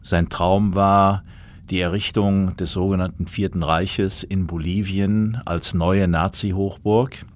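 A man's voice: low-pitched, fairly even intonation, takes it slowly. A male journalist giving factual, explanatory documentary commentary.

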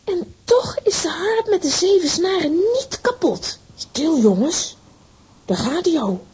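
A woman's voice: very high-pitched, unhurried, heavily acted. A woman playing a plucky, emotional little girl heroine in a children's comic-strip audio drama. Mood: insistent. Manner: excitable, plaintive.

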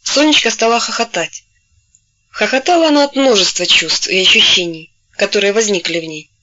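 A woman narrating a novel in a steady storytelling voice, gently taking on the characters' voices when they speak. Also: medium pitch, brisk, highs cut off. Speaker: audiobook narrator. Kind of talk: scripted literary narration.

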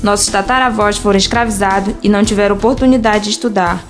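A woman's voice: mid-range voice, fairly even intonation, fast talker. A woman with a northern Brazilian accent working as a radio reporter, asking scripted interview questions. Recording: music behind the voice.

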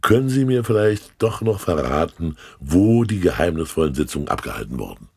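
A man's voice: deep voice, very animated, brisk, gravelly, a little mellow, breathy edge, no echo, studio quality, full character voice. An actor playing a sly, condescending state official in a German radio play, suave and mockingly polite as he questions people. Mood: mocking interrogation.

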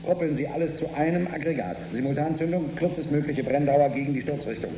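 A man in a radio drama playing a wry, brisk and decisive spaceship crewman gambling on an escape. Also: on the high side, reverberant, noisy background, close to an everyday voice.

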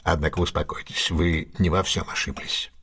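A man's voice: low voice, little pitch movement, moderate pace, creaky, a bit bright, husky, heavily acted. An actor in an audio drama playing a keen, self-assured male detective who reasons step by step in a didactic, theatrical way. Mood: teasing, assured. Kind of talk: Russian audio drama acting.

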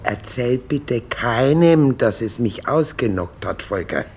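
An actor playing a chatty, streetwise police colleague in a naturalistic radio drama, talking in informal slang. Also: male voice, mid-range voice, expressive, takes it slowly, vocal fry, darkish tone, faint music behind. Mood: confiding, casual.

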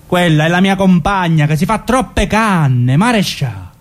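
An actor playing a proud, hot-headed jailed gangster with a southern Italian accent, agitated in a theatrical style. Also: male voice, high-pitched, lively intonation, unhurried. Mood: agitated, pleading. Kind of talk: theatrical drama dialogue.

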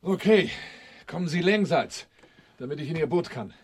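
An actor playing a cool, hardened criminal in a crime radio drama, speaking tersely and dryly. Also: male voice, fairly high pitch, lively intonation, moderate pace, noisy background, close to an everyday voice. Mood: calm, terse. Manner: terse, unruffled, dry. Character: cool, hardened male criminal adventurer, matter-of-fact about danger.

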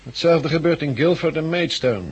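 A very husky male voice in a 1960s radio play. He is a friendly helicopter pilot recruiting for an island colony. His delivery is measured, courteous and explanatory. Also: fairly high pitch. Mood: informative, confident. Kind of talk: 1960s Dutch radio drama acting. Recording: muffled 1960s radio drama recording.

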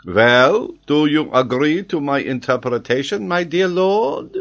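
A man voicing an excitable, triumphant French geographer who argues emphatically and draws out his key words. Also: fairly high pitch, measured pace, gravelly, pitched above the performer's own voice, heavily acted. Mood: triumphant, teasing.

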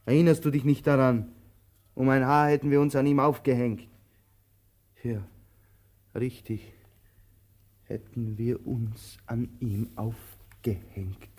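A man acting an anxious, talkative tramp in an absurdist radio play, halting and insistent, repeating himself as he clings to his companion. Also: medium pitch, level tone, a little mellow. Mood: urging.

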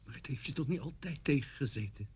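An actor in a radio thriller playing a frightened older schoolteacher, begging haltingly in a very breathy, husky voice. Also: male voice, medium pitch, expressive, a little mellow. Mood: pleading. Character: terrified, pleading schoolteacher held at gunpoint by former pupil. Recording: dull, muffled radio drama recording.

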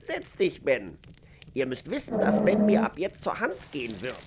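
An actor playing a kindly, jovial old gardener in a radio play, with a creaky, aged voice and a gently teasing, avuncular manner. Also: male voice, high voice, huge pitch swings, moderate pace, heavy background noise, full character voice. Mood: friendly, explaining. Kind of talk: German radio-play acting.

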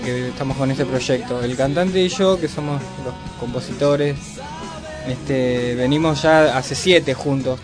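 Halting musician, music underneath. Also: male voice, medium pitch. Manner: halting, many fillers like "este". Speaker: band bassist and singer, guest. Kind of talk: casual radio interview. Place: radio studio.

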